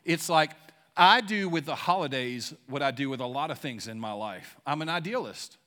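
A young man preaching a sermon in a church, casual and excited, using slangy talk and leading a prayer. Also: on the high side, normal speed. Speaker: pastor. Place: church sanctuary.

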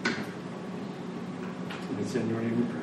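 A man's voice: on the low side, monotone, takes it slowly. A man preaching a sermon in an earnest, emphatic manner, repeating phrases for weight. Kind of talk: sermon, closing in prayer. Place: large echoing room, church service. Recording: echoey large room, distant, faint noise.

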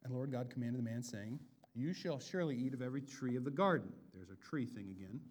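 An American man from the Pacific Northwest preaching a sermon, loud and animated, dropping into quiet asides before rising to booming emphasis. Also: fast. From Bellingham, Washington, USA. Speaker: pastor. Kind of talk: expository sermon.

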